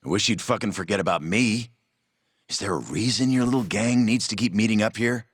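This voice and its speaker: An actor playing a surly young yakuza shopkeeper who talks in a gruff, foul-mouthed, irritable way. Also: male voice, on the low side, fairly quick, no echo, clean studio sound. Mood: annoyed. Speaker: yakuza store owner. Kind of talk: video game cutscene voice acting.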